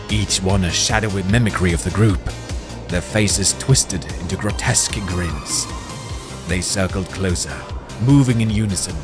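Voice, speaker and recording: Dramatic storybook narrator, man, low register, very animated delivery. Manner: dramatic, expressive. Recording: music playing under voice.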